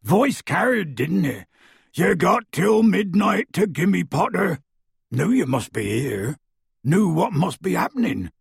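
A man voicing a huge bearded half-giant gamekeeper who talks in rough, clipped country speech and booms his words. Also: sing-song, slow pace, dry recording, studio quality, exaggerated voice. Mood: gruff. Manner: rustic dropped-word speech, booming.

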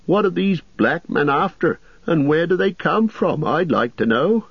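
A man voicing a fussy, excitable middle-aged innkeeper who frets loudly in a raised voice. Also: husky, no room sound, dull audio, full character voice. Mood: alarmed. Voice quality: excitable, high and loud, quick to fret.

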